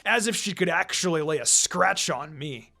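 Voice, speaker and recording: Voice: medium pitch, expressive, moderate pace, gravelly, bright, forceful tone, exaggerated voice. Reader doing a brash, hot-headed teenage boy. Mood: cocky scoffing. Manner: cocky, stammering when frightened.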